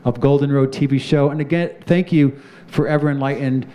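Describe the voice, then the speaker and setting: A man's voice: medium pitch, brisk. A middle-aged man hosting a live community TV studio show, talking in a proud, easygoing way with plenty of fillers. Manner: proud, relaxed, rambling with fillers. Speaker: show host.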